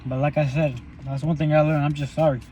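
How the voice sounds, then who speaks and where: A man's voice: on the high side, level tone, fast, mellow, soft background music. A young man talking while he eats in a parked car, in a loose, rambling and profane way for his vlog. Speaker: vlogger. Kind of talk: casual eating vlog monologue.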